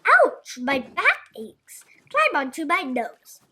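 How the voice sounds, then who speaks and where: A woman's voice: sits high, huge pitch swings, slow pace, bright, forceful tone, full character voice. A woman voicing a sly, clever male fox with a coaxing, falsely helpful manner for a storybook. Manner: smooth coaxing, cunning.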